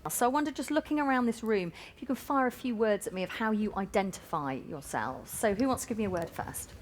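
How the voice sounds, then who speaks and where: A woman's voice: on the high side, expressive, quick pace, slight background noise. Woman presenting radio. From England. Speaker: radio host. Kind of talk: presenting a radio discussion programme.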